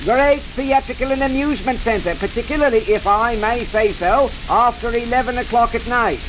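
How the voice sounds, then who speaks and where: A man's voice: very high voice, moderate pace. A cheerful sightseeing guide in a vintage radio comedy. He delivers formal, deferential patter with patient, wry courtesy. The recording is full of hiss and crackle. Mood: brisk, courteous patter. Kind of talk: old-time radio comedy acting.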